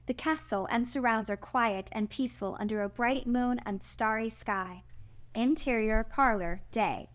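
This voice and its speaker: A woman's voice: fairly high pitch, a little slow. A woman narrator reading out the stage directions and scene headings of a screenplay.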